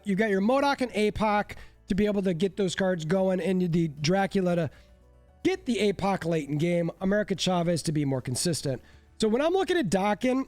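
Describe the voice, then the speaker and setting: A man's voice: sits high, a little mellow, no room sound. A man with a stuffy, congested voice chatting casually and a bit self-deprecatingly as he breaks down card game decks. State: congested from a cold. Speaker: card game content creator. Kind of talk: casual deck breakdown commentary.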